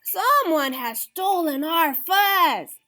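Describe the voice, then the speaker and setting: Woman as plucky high-pitched little prairie dog. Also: lively intonation, slow pace, bright, forceful tone, full character voice. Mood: indignant, urgent. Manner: urgent, pleading, plucky. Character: small earnest young prairie dog rallying the town.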